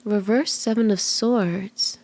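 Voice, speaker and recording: A woman voicing a flirty, soothing kitsune fortune teller in an ASMR roleplay, speaking gently and coaxingly, at times in breathy whispers. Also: medium pitch, takes it slowly, slight background noise. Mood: soothing, mystical. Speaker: tarot reader.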